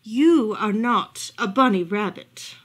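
A woman's voice: mid-range voice, lively intonation. A woman as a firm, wise old owl. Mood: stern. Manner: slow, deliberate, firm.

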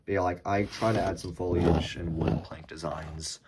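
A young man with a deep, cracked, slightly hoarse voice, rambling casually with lots of fillers while showing off a toy build. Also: fairly even intonation, normal speed, very noisy. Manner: rambling, many fillers and restarts. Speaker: Lego YouTuber. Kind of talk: casual show-and-tell of a build. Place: small room indoors at a table. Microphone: camera filming hands over a desk.

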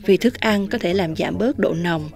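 A young woman hosting an outdoor interview, holding a handheld mic and translating her guest's words with lively delivery. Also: on the low side, moderate pace, creaky. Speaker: host. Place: outdoor patio at a winery.

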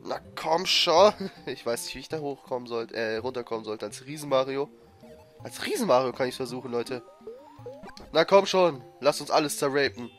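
A man with a cold giving excitable, frustrated commentary over a platform game he is playing. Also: mid-range voice, moderate pace. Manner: frustrated, excitable, swears and laughs. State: has a cold, coughing. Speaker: let's player. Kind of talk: gameplay commentary. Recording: faint game music behind. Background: game music.

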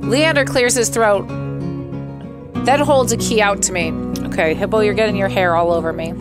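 A woman's voice: medium pitch, normal speed. Young woman reading a game aloud over music. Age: young adult. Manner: playful, expressive reading, breaks off into quips. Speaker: streamer playing a visual novel. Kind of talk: let's play, reading game text aloud with casual asides. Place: small room at home. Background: guitar game music.

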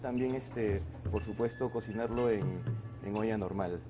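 A man's voice: lowish voice, music in the background. A man in his forties, a chef, explaining his dishes in a restaurant kitchen with frequent restarts and fillers. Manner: halting, many restarts and fillers. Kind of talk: casual kitchen interview. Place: restaurant kitchen and sushi bar.

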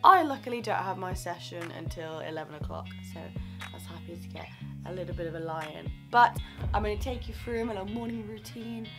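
A young woman in her twenties, a drama student vlogging casually with a slightly croaky voice, filmed at arm's length in a small student flat. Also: mid-range voice, a little fast, music in the background. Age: 20s. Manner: chatty and upbeat, laughs often. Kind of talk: casual vlog chat. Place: small student flat bedroom and kitchen, indoors. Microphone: camera mic at arm's length.

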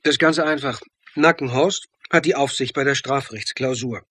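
Sharp-voiced, shrewd male lecturer-sleuth. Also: medium pitch, moderate pace, husky. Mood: animated. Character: male college lecturer turned amateur sleuth, shrewd, insistent. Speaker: narrator and lead character. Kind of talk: German radio crime play, first-person narration and dialogue.

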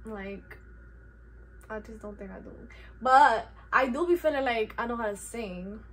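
A woman's voice: on the high side, measured pace, low-quality recording. Laid-back teen girl with a New York accent. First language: Spanish. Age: teens. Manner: laid-back, slangy, self-teasing. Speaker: YouTuber. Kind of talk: casual Q&A vlog. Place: bedroom, indoors.

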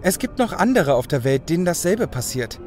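A man's voice: on the high side, big pitch swings, fairly quick, darkish tone, soft background music. An actor playing a cynical, proud young male hacker turning elf in an audio drama, brooding and self-assured. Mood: earnest, visionary. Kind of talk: German audio drama acting.

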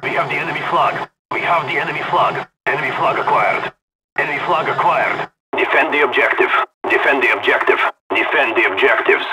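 An actor playing a stern Soviet special forces commander in a video game, with a Russian accent and clipped, commanding delivery. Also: male voice, medium pitch, brisk, heavy background noise, natural voice. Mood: terse, urgent. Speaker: game announcer. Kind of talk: terse scripted military game announcements.

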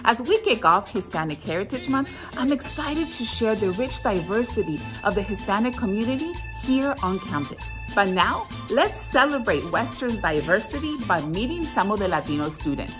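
A woman teacher in her forties speaks to students with bright enthusiasm, her pitch rising and falling a great deal. She is filmed up close in a classroom. Music runs clearly beneath her voice. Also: very animated, moderate pace, hardly any pauses. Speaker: Spanish teacher. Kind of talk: school video announcement. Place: classroom with bookshelves, indoors. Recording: music underneath.

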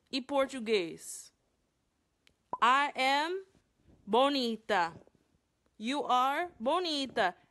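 Slow, animated American woman vlogging. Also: fairly high pitch. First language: English. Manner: animated, exasperated explaining. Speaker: youtuber. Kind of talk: vlog to camera. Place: small room at home. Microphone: phone camera at arm's length.

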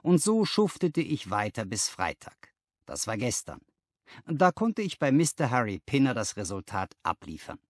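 Animated male audiobook narrator. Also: medium pitch, normal speed, no room sound, studio quality.

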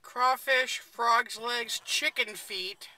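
A woman's voice: on the high side, extremely slow, sharp tone, low-quality recording. A woman chatting casually and playfully from off camera inside a car, teasing as she goes. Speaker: vlogger's companion. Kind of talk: casual chat. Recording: faint noise in car.

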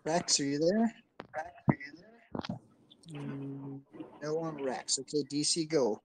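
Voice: medium pitch, fairly even intonation, takes it slowly, slight background noise, low-quality recording. Upbeat voice chat moderator. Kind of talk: hosting a voice chat.

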